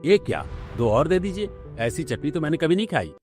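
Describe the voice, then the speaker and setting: A man's voice: on the high side, big pitch swings, brisk, darkish tone, faint music behind. A man voicing a proud, stubborn and demanding brother-in-law in a cartoon family drama. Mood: impressed.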